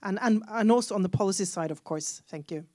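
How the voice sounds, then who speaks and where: A woman of middle pitch puts a long question to a panel, rambling and restarting as she goes. Her voice is recorded dry, with no room echo. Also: fast talker, a little mellow. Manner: rambling, with restarts and "you know".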